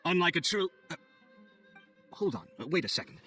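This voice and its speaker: A man's voice: on the high side, sing-song, normal speed, pushed and bright, soft background music. A man playing a proud, hot-tempered young architect in a video game, speaking with theatrical, haughty exasperation.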